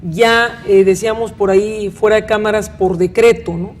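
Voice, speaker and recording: A woman's voice: medium pitch, steady tone, moderate pace, a little hiss or hum. A woman with a northern Mexican accent hosting a conversational panel interview, talking in a rambling, questioning way with frequent tag questions. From Monterrey, northern Mexico. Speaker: talk show host.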